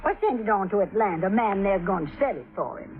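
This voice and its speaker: Female actor as plain-spoken Southern landlady, folksy, unrepentant, animated intonation, old radio play, thin band-limited recording. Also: medium pitch, fast talker, narrow, tinny audio, soft background music, lightly acted. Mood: sympathetic, resigned. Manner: folksy, frank. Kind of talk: old-time radio drama acting.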